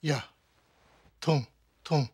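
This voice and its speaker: An actor playing a brisk, helpful Tibetan village man in a video game. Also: fairly high pitch, darkish tone, slightly rough, heavily acted. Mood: attentive. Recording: faint music under the dialogue.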